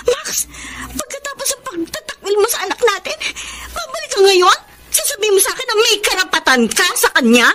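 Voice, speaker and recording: A woman's voice: very high-pitched, sing-song, a little fast, background noise. An actress playing a bitter, defiant mother in a Filipino radio drama, confrontational and scornful in her delivery. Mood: accusing.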